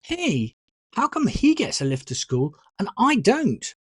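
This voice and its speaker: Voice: medium pitch, wildly swooping pitch, a little slow, husky, no room sound, studio quality, pitched above the performer's own voice, heavily acted. A narrator voicing a cheeky, casual teenage older brother in an audiobook. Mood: disgruntled.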